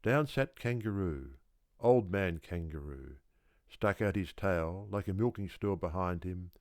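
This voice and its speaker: A man reading a children's story aloud as an audiobook narrator, with deliberate, rhythmic, theatrical phrasing. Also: low voice, a little mellow, dry recording, studio quality. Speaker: audiobook reader.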